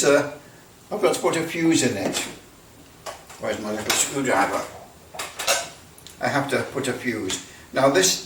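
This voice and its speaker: Roomy, distant-miked man rambling through a demonstration. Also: mid-range voice. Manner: hesitant, rambling, thinking aloud, repeats himself. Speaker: presenter of an electronics repair video. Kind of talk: casual hands-on demonstration talk. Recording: roomy, distant mic, faint background noise.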